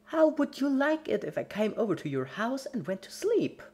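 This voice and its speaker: A manic young cartoon pony villainess, pitched far up. She is bubbly and chatty, with a petulant, scolding streak under the cheer. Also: very animated, normal speed, a little mellow, husky. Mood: pouty, indignant.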